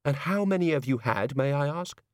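A man voicing a sharp, indignant woman with a sardonic, articulate and exasperated way of talking. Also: fairly high pitch, lively intonation, normal speed, dry recording, clean studio sound, voice pushed up, close to an everyday voice.

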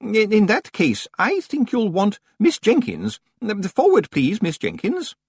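A man puts on a fussy male senior librarian for a storybook. The voice sits high and wobbles widely in pitch. He is timid and formal, stammering politely as he goes. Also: wildly swooping pitch, measured pace, creaky, a little mellow, husky, dry recording, clean studio sound, full character voice. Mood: flustered, polite.

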